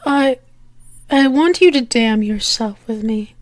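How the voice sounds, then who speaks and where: A woman playing a teasing, lovestruck female demon in an intimate ASMR roleplay, speaking haltingly with fond endearments. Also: on the high side, no echo, studio quality. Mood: earnest, pleading.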